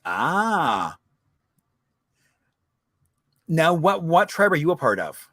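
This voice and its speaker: A man's voice: a little high, big pitch swings, a little slow, fairly bright tone, no room sound, studio quality. A man hosting a casual virtual chat, easygoing and affirming, on a video call. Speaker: host.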